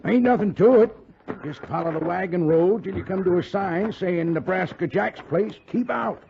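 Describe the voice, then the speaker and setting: A man's voice: high-pitched, moderate pace, vocal fry. A man playing a folksy, sly frontier stagecoach attendant with an American Western drawl in a 1950s radio western. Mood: reassuring, salesmanlike. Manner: drawling, garrulous, salesmanlike. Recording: muffled, hissy 1950s radio recording.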